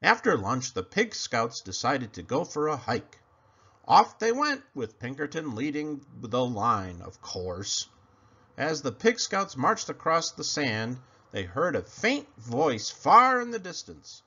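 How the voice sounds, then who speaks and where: A man reading a children's picture book aloud in an animated, dramatic, sing-song way. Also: medium pitch, takes it slowly, husky. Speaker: read-aloud storyteller. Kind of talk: expressive children's story reading. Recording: dull lo-fi sound.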